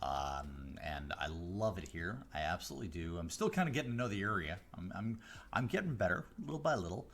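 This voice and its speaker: A man from the Chicago area, a mentalist hosting a live stream, chatting casually with viewers on a close studio mic, rambling with fillers and restarts but slowing down with deliberate pauses. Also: sits low, animated, fairly bright tone. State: voice deeper than usual today. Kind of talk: casual chat answering viewer questions. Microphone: close studio microphone.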